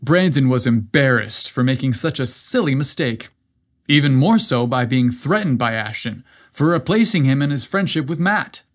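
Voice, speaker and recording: A man's voice: mid-range voice, expressive, normal speed. A man narrating a scripted story as the host of a podcast.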